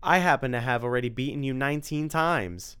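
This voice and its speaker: A man voicing a cocky, wisecracking young hero in a read-aloud story, quick and cheeky. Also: on the low side, fairly even intonation, fairly bright tone, smooth.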